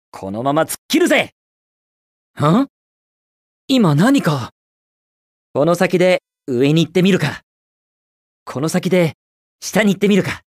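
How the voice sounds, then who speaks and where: A man's voice: high-pitched, no room sound, studio quality. A man voicing a cocky, carefree young hedgehog hero in a video game, with breezy, confident, slangy delivery.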